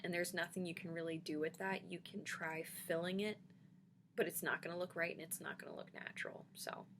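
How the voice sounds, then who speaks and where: Low-voiced woman vlogger, chatty and reassuring. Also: a bit bright, slight background noise. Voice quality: slight lisp. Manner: chatty, reassuring, frequent ums. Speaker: denture vlogger answering comments. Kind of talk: casual vlog chat.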